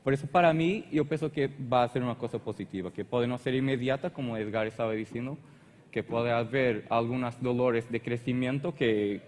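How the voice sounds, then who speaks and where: Portuguese-accented male presenter, halting non-native delivery, echoing hall sound. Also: medium pitch, darkish tone, slight background noise. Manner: halting, searches for words and restarts often. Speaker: conference speaker. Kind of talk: technical conference presentation.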